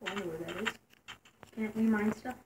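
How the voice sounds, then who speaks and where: A teenage girl playing a game with a friend, argumentative and often muttering low. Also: fairly even intonation, brisk, creaky, a little hiss or hum. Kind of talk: casual chat while gaming.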